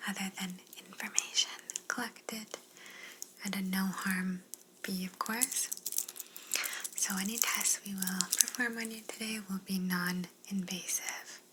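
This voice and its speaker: A woman playing a polite, curious alien examiner in an ASMR roleplay, speaking in a soft breathy whisper with a soothing, clinical manner. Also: medium pitch, background noise, natural voice. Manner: slow, soothing, clinical, courteous.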